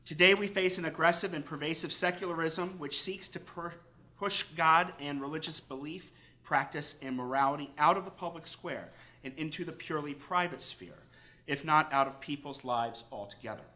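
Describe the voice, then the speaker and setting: An American man, a priest, reading a formal paper aloud to a conference in a measured, emphatic, oratorical way. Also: on the high side, bright, forceful tone. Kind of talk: formal read-aloud lecture.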